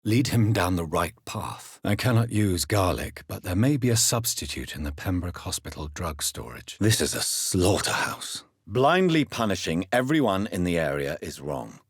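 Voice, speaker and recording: A man playing an earnest, stern gentleman doctor of early twentieth-century England, secretly a vampire, in a video game, with formal, measured, intense diction. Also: deep voice, very animated, husky, no room sound, studio quality, full character voice. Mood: stern, indignant. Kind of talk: dramatic video game voice acting.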